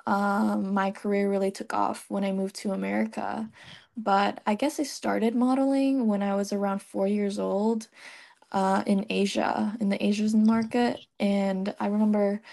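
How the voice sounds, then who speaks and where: A young woman from Vietnam, a model and podcast guest, telling her story in a relaxed way with many ums. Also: medium pitch, vocal fry. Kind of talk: casual interview chat.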